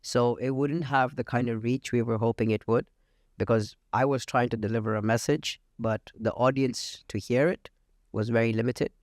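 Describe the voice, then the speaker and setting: A gravelly-voiced man from India speaks as a podcast guest, confident and matter-of-fact, pausing often on "uh." The sound is dry and close, with no room echo. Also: mid-range voice, fairly even intonation. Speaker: real estate agent, podcast guest. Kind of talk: casual interview talk. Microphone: podcast studio mic.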